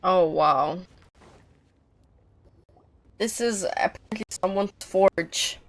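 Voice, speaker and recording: A woman's voice: fairly low pitch, takes it slowly. A woman giving casual live commentary as a game streamer, wry and blunt.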